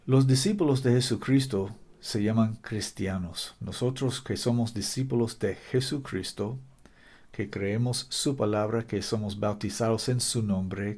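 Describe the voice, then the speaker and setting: A man preaching a Bible-teaching sermon in Spanish, which he says is not his native language, speaking deliberately and stopping often to quote scripture. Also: on the low side, a little mellow. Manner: deliberate, stops to quote scripture, some restarts in careful non-native Spanish.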